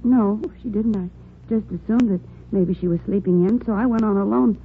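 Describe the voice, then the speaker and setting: A tinny, faintly noisy 1940s radio recording of a young working woman played by an actress. She speaks at a middle pitch, politely and earnestly, with some concern. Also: normal speed, natural voice. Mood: helpful. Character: polite, concerned young female elevator operator.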